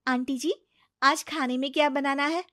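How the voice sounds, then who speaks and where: A woman's voice: high voice, fairly even intonation, moderate pace, fairly bright tone, dry recording, studio quality, pitched above the performer's own voice. A woman voicing an earnest, anxious young woman in love in a family story, speaking plaintively. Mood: polite. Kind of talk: Hindi family moral story dramatization.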